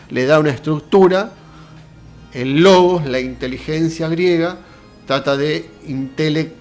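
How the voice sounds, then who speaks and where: Argentine man lecturing on philosophy. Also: on the high side. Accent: Argentine Spanish. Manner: conversational, addresses viewer directly with rhetorical questions. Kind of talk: casual lecture.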